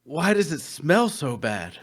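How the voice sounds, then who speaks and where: A battered, groggy warrior, acted by a man at a fantasy table read. His husky voice drags slowly and wearily through the words. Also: a little high, sing-song, fairly bright tone, full character voice.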